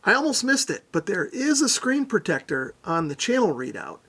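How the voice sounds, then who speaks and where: A man's voice: high voice, wildly swooping pitch, normal speed, a bit bright, husky. A middle-aged man narrating a casual hands-on product demo, explaining things methodically and without hurry. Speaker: radio reviewer, presenter.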